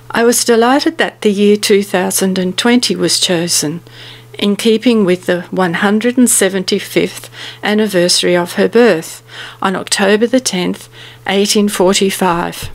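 A woman's voice: mid-range voice, creaky. Formal older woman reading a speech. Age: 70s. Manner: formal, carefully worded. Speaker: speaker giving a commemorative speech. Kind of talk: prepared speech read aloud.

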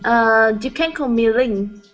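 A woman English learner speaks with a Vietnamese accent. She goes slowly and hesitantly as she builds her answers. The sound is a dull, lo-fi video call. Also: on the high side, a bit bright.

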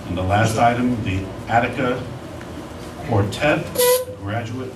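A man's voice: mid-range voice, slow pace. A middle-aged man reading announcements aloud as a district manager at a community meeting. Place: community meeting room, indoors. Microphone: handheld microphone, recorded by far camera. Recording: distant echoey room recording with clear noise.

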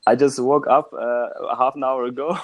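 A young man with a mid-range voice in casual conversation. He talks calmly and fluently, like a relaxed learner practising. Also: mid-range voice, moderate pace. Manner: calm, fluent. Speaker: language learner being tested in conversation. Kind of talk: casual chat.

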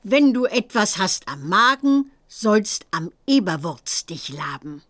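A woman voicing a witch teacher in a children's computer game, reciting with a deliberate, sing-song delivery. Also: medium pitch, sing-song, fairly bright tone. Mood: instructive.

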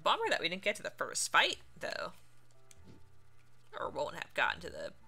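A woman's voice: on the low side, wildly swooping pitch, moderate pace, vocal fry, sharp tone, faint music behind. A woman streamer giving casual, playful commentary on a video game, reacting aloud as she plays. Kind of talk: casual let's play commentary.

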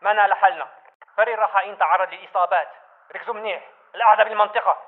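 Militant on tinny radio, very fast urgent game callouts. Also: male voice, sits high, fairly even intonation. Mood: urgent warning. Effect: radio filter. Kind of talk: urgent combat radio report. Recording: tinny narrow-band radio.

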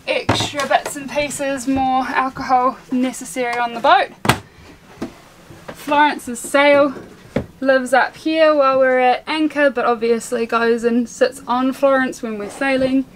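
A woman's voice: fairly high pitch, fairly bright tone, low-quality recording. A young woman from New Zealand chattily showing the cabin of her sailboat, pointing things out as she walks through. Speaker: sailing vlogger giving a boat tour. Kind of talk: casual walkthrough tour. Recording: camera audio with clear background noise.

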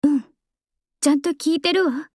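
An actress voicing a young female idol singer in a game, calm and composed, soft-spoken and earnest. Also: high voice, moderate pace, dry recording, clean studio sound, close to an everyday voice. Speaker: game character voice. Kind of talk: game voice lines. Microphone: close studio mic, dry.

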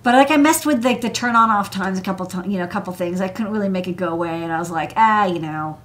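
American woman chatting casually about electronics on a stream, informal, normal pitch. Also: fast talker, fairly bright tone. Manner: informal, filler "like" and asides. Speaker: host, electronics engineer. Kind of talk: casual technical chat on a livestream. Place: indoor desk workspace.

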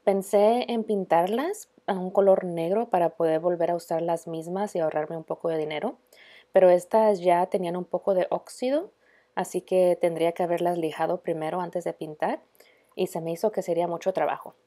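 A woman's voice: on the low side, fairly even intonation, fairly quick, warm tone. A woman in her thirties casually narrating a home DIY vlog, dropping in the odd English word. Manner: casual, mixes in English words.

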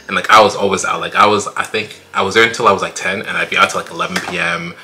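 Fast-talking young man, deep and bright. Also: steady tone, background noise. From Congo, raised in Canada. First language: French. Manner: fast, filler-heavy, gestures a lot. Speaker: co-host, husband. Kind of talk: casual storytime chat. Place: small home room, indoors.

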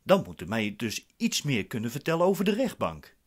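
Suspicious, impatient man with animated delivery. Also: medium pitch, a little fast. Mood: inquisitive. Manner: curt, questioning. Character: suspicious, impatient, commanding male defendant. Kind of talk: radio drama acting.

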